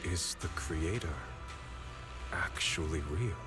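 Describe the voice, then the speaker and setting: Low brooding skeptical dude from a game, restrained, few words, music behind. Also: male voice, slow pace. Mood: guarded, doubtful. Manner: terse, restrained. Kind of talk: dramatic video game voice acting.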